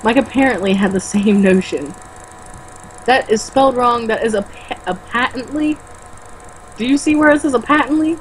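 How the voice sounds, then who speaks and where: A woman's voice: fairly high pitch, normal speed, slight background noise, low-quality recording. A young woman reading a book aloud casually, with sarcastic, exasperated, foul-mouthed commentary. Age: young adult.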